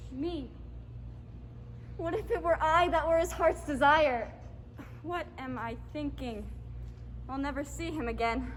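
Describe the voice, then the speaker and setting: A young woman playing a lovestruck, troubled princess on stage, dramatic, halting and yearning. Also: sits high, a little hiss or hum. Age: young adult. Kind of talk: high school stage drama acting. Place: theatre stage. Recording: reverberant hall, music behind.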